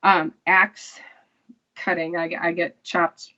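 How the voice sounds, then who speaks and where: A woman, an actress, chatting casually as an interview guest, unhurried and full of fillers and restarts. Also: on the low side, little pitch movement, sharp tone, muffled recording.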